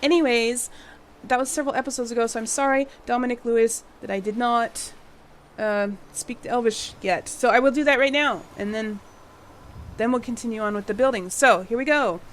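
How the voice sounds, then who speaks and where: A woman hosting a game-building channel, chatting casually while she plays. Her voice is a little husky from a cold she is getting over, and she sounds tired and late-night. She apologizes often and laughs a lot. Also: fairly high pitch, a little fast, slight background noise. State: slightly husky from a fading cold. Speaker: gaming channel host. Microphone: home voice mic.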